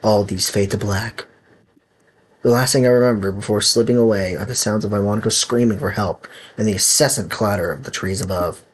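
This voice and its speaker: A young man with an Italian accent, reading a visual novel aloud on stream, stumbling over words and breaking into loud outbursts. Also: fairly low pitch, a little fast, breathy edge.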